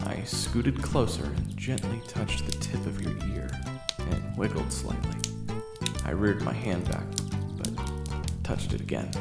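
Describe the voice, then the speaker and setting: A man performing a first-person audio roleplay, narrating and talking to the listener, often stammering and flustered, sometimes whispering and sometimes yelling. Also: sits low, big pitch swings, unhurried, vocal fry. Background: video game soundtrack music.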